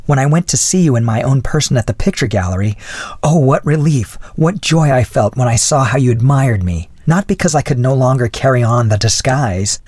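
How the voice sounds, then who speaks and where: A man voicing a devoted, gentle wife in an audiobook, with an earnest, pleading manner. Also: mid-range voice, dry recording, studio quality, pitched above the performer's own voice, close to an everyday voice. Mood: heartfelt, relieved. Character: devoted, gentle young wife hiding behind disguise. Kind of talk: audiobook character voice.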